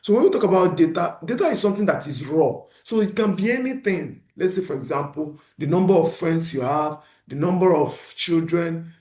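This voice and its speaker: A man's voice: a little high, moderate pace, hardly any pauses, roomy sound. A man lecturing beginners, often asking a question and then answering it himself. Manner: animated teacher who poses questions and answers them himself. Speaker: instructor.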